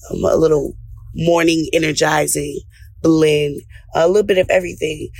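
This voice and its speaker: A woman's voice: deep voice, darkish tone, dry recording. A woman radio show host talking conversationally to listeners.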